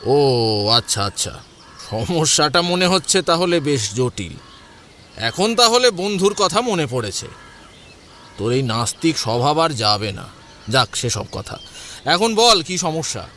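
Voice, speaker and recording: A man's voice: on the high side, big pitch swings, measured pace, pauses often, a bit bright, a little hiss or hum. A man playing a confident, teasing middle-aged priest in an audio drama. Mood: teasing, jovial.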